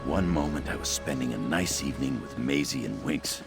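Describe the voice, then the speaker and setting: A man's voice: extremely low pitch, lively intonation, moderate pace, gravelly, over music, heavily acted. A man voicing an anxious, self-doubting young cat-man trader in a fantasy video game, fretful and hesitant. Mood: troubled, recounting.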